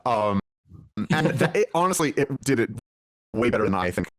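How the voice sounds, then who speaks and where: A man's voice: mid-range voice, very animated, moderate pace. A man speaking as a game developer guest in a casual interview, rambling and often interrupting himself mid-sentence. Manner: rambling, self-interrupting, informal. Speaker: indie game developer and music lead, podcast guest.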